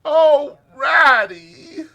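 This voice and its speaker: A man performs a timid storybook mole in a raised, high voice. The creature is doleful and self-reproaching, with a plaintive wail to every phrase. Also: very slow, vocal fry, sharp tone, highs cut off, heavily acted. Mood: dismal, tearful. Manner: plaintive, wailing. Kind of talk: dramatized storybook reading.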